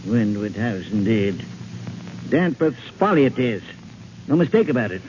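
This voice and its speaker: An actor playing an irritable, blustering rich property owner in 1940s radio style, pompous and grumbling. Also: male voice, mid-range voice, sing-song, a little slow, darkish tone. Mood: irritated. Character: irritable, blustering wealthy male owner of decaying mansion. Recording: muffled 1940s radio recording, faint noise.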